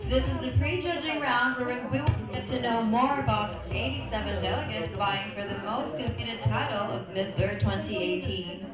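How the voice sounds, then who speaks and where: A woman hosting a pageant event, reading a scripted introduction in a formal, welcoming way. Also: fairly high pitch. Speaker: event host. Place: hotel event hall. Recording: very echoey hall, distant mic, music behind.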